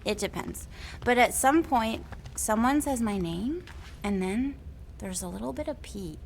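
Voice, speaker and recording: An oddball alien mystic in a video game, airy and playful. Her high voice lilts whimsically with big swings in pitch, over a faint bed of noise. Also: expressive, a little slow, vocal fry. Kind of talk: video game cutscene acting.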